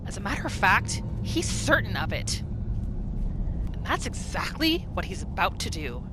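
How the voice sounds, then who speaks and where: A woman hosting a gaming roleplay series, telling the story in short phrases with dramatic pauses. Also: mid-range voice, very animated, noisy background. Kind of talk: storytelling gameplay narration.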